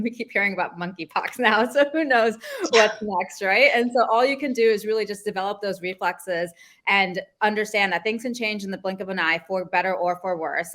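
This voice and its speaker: A woman's voice: mid-range voice, a bit bright. A woman from Canada, a travel journalist speaking fluently and thoughtfully on a panel. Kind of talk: panel discussion.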